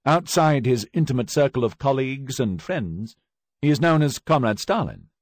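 A man's voice: medium pitch, animated, normal speed, no echo, studio quality, voice pushed up, lightly acted. Strict male Soviet judge, curt and stern, raised voice, read by a man.

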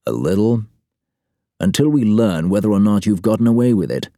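Audiobook role read by a man: public school English official, measured, cautionary, precise to a fault. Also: on the low side, very animated, warm tone, no room sound, studio quality. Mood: wary warning.

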